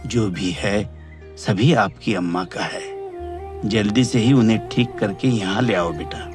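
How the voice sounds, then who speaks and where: Man acting a slow, humble old uncle. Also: on the low side, over music. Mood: humble, content. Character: humble, loyal older male servant uncle. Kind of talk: Hindi cartoon moral-story voice acting.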